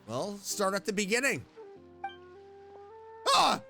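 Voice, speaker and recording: A woman's voice: medium pitch, very animated, slow pace, gravelly, over music. A woman giving casual playthrough commentary as a streamer, reacting in a joking, mock-tough way.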